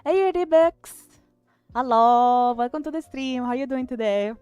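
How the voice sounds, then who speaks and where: A woman's voice: high voice, moderate pace, warm tone, clear, resonant tone, dry recording, highs cut off, faint music behind. A woman with an Italian accent, chatting casually on a live art stream, reading chat aloud and trailing off with fillers. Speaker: artist streamer.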